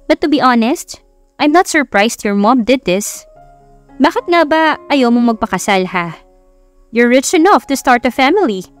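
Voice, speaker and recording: A woman's voice: on the high side, expressive, measured pace, soft background music, voice pushed up. A Filipino woman voicing a defensive, flustered young woman hiding a crush, in an audiobook romance. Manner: snappy, flustered.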